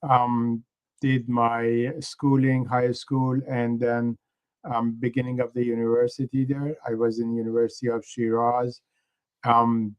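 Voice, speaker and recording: A man in his sixties with an Iranian accent, answering interview questions in a measured, hesitant way as a guest. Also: medium pitch, level tone, clean tone, muffled recording. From Iran. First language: Persian. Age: 60s. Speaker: guest, engineering professor and activist.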